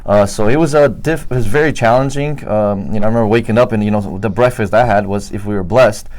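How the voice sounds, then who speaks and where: A man's voice: fairly low pitch, fast talker, a little mellow, poor audio. A man from Romania, a guest telling his life story with earnest care and many fillers such as "uh" and "you know". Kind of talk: testimony interview.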